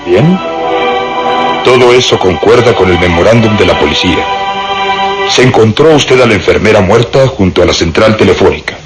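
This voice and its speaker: An actor playing a stern, methodical police inspector in a radio drama, speaking formally and with authority. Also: male voice, fairly low pitch, natural voice. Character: stern, methodical, officious male Scotland Yard police inspector.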